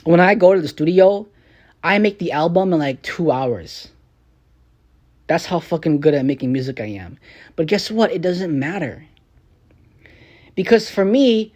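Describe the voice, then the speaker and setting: A young man, a streamer and rapper, ranting on a live stream in a rambling, profane, frustrated way. He drops into low mutters and then bursts out loud. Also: animated, a little slow, pauses often. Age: young adult. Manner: swings between low mutters and raised outbursts.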